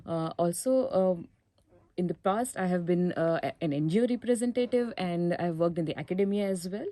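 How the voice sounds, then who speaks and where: A woman speaks in a low, mellow voice, recorded close and dry. She explains in an earnest way with a few fillers, like a student president on a campus radio show. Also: a little fast, dry recording. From India, Mumbai. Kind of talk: casual chat show.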